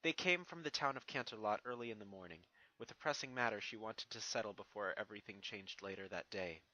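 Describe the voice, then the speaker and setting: A man's voice: on the low side, fast talker, sharp tone, dry recording, lo-fi sound. A man who reads fan fiction aloud, in casual introductions and narrated story passages.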